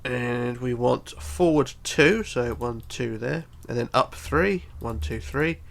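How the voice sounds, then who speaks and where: A man's voice: mid-range voice, slow pace, vocal fry. A man with a bunged-up, congested voice giving a casual step-by-step building tutorial, counting aloud methodically. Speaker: tutorial host.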